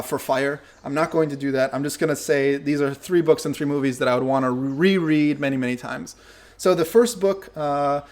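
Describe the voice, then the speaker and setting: Casting: young male host, non-native English speaker, slightly higher voice, easygoing Q&A with fillers and self-corrections. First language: not English. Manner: relaxed, thinks aloud with fillers and self-corrections. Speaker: channel host answering viewer questions. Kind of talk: casual Q&A talk to camera.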